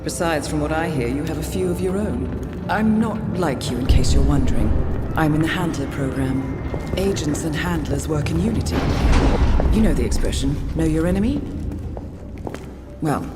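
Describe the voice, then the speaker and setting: British woman spy handler, lowish voice, composed, formal, determined, video game delivery, clear music underneath. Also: expressive. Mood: cool, probing. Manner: poised, measured, formal, crisp diction. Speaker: mission handler and training guide. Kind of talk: video game cutscene acting and mission briefing. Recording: background score under the voice.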